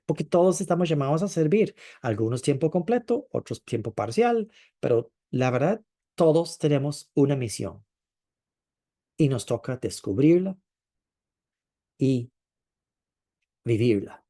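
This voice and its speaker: A man preaching a sermon in a thoughtful way, pausing often and stretching out words he wants to stress. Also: medium pitch, expressive, mellow. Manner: thoughtful, with frequent hesitations and drawn-out stresses.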